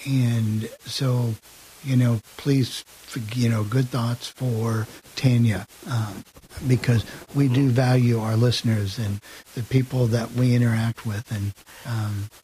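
A man hosting talk radio, speaking earnestly and a little haltingly. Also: medium pitch, fairly even intonation, warm tone, low-quality recording. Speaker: radio show host. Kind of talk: casual talk radio announcement. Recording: slight hiss.

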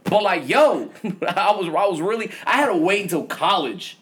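A man's voice: high voice, animated, moderate pace, fairly bright tone, breathy edge. Laid-back slangy man telling stories. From Vietnam. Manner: laid-back slangy storytelling, laughs often. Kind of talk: casual chat.

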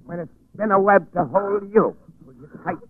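An old, tinny radio recording of a crazed, vengeful young villain. His high voice lurches from hushed menace to frenzy in 1940s thriller style. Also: moderate pace. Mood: menacing, gloating. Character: deranged spider-obsessed young man, menacing and vengeful. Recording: muffled, band-limited 1940s radio recording.

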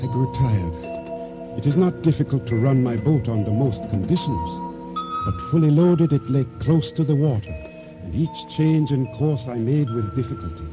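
An actor playing a wary boat owner who tells his tale gravely and deliberately in a radio drama. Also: mid-range voice, lively intonation, lightly acted. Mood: weary, watchful. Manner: deliberate, solemn, measured storytelling. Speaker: narrator and lead actor. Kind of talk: radio drama acting, first-person storytelling. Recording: radio drama with faint music underneath.

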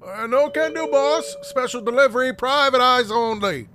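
An actress playing an eager young newsy in a comic noir audio drama, brash and full of folksy period slang. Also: female voice, high voice, normal speed, a bit bright, slight background noise. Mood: star-struck.

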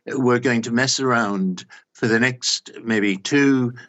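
An older man giving analysis as an expert guest in a news interview, earnest, emphatic and deliberate. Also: mid-range voice, fairly bright tone, highs cut off. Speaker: expert guest, director of an organisation.

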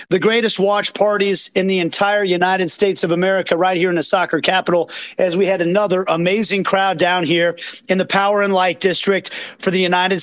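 A hoarse man from Kansas City hosting a live post-match reaction, talking in an excited, rambling, self-deprecating way from a green room backstage. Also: high voice. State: hoarse from yelling. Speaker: podcast host. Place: green room behind an outdoor stage. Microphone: phone.

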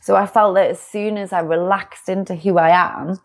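A woman's voice: medium pitch, steady tone, moderate pace. A young woman from the UK, a success coach, talking as an interview guest in an upbeat, reflective way. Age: young adult. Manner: bubbly, upbeat, self-reflective. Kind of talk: casual interview chat.

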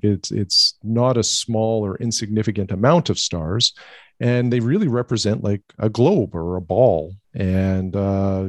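A man with a fairly low voice explaining astronomy in an easygoing, casual way, pausing on fillers as he goes. The sound is dry and close to the mic. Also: no room sound. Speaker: podcast co-host.

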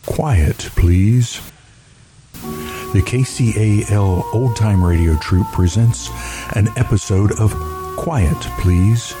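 A man playing a solemn, portentous 1940s radio suspense announcer with deliberate delivery. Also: on the low side, pitched below the performer's own voice. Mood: solemn, ominous. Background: music.

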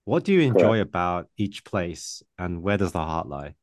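A man hosts a casual chat, telling anecdotes and laughing through them. His voice sits fairly low. The sound is dry and close. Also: sing-song, normal speed, a little mellow, dry recording. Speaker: podcast host.

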